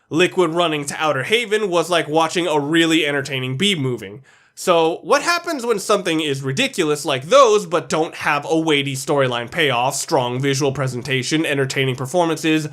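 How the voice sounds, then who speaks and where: A man's voice: on the high side, a bit bright. A man narrating a countdown video as its reviewer, delivering opinionated scripted commentary in a blunt, sweary, emphatic way.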